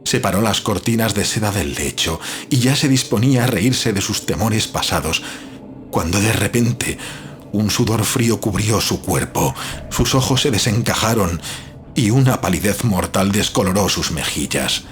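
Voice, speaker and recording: A man narrating a horror story in a solemn, suspenseful manner with dramatic pauses. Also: lowish voice, expressive. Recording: prominent music behind the voice.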